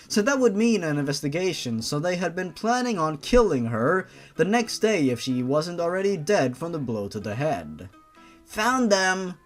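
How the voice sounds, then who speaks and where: A man reading fan fiction aloud and breaking off for exasperated, mocking, sarcastic asides. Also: on the high side. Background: background music.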